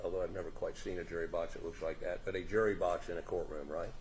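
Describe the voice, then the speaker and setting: A man questioning a witness in a courtroom, halting and restarting his questions in a folksy way. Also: low voice, flat delivery, a little mellow. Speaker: defense attorney questioning a witness. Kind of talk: courtroom examination. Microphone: courtroom microphone.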